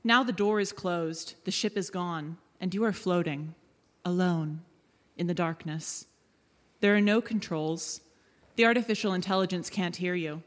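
A woman's voice: lowish voice, unhurried. A woman giving dramatic scripted narration as the presenter of a live audio drama. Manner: dramatic, theatrical storytelling. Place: live stage in a hall. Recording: echoey hall, live stage.

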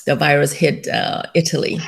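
A woman's voice: low-pitched, flat delivery, moderate pace, vocal fry. A middle-aged woman with a Taiwanese accent, telling her story as a guest in an interview, with many fillers and light laughs. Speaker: guest, company founder. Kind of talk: casual interview.

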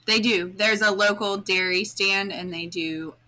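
A woman with a naturally loud, commanding voice that sounds bright and forceful. She speaks slowly and deliberately in casual chat. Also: medium pitch, fairly even intonation. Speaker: podcast guest.

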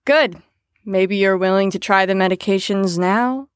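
A woman's voice: medium pitch, a little slow, clean tone, no room sound, natural voice. A woman in an audio drama playing a firm carer on a patient ward, with a dry, exasperated manner.

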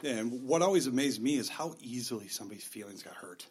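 A man's voice: mid-range voice, fast, breathy edge. A man in his thirties co-hosting a podcast, telling profane, joking stories with lots of energy. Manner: joking, profane, animated storytelling. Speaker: podcast co-host. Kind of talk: casual chat.

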